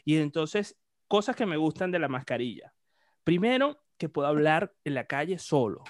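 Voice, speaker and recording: A chatty man tells stories as a guest, joking at his own expense and restarting his sentences. His voice sits a little high for a man. The sound is dry and close, with no room around it. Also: sing-song, normal speed, no echo. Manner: chatty storyteller, self-mocking, trails off and restarts. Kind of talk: casual chat on an online show. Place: lives in Spain.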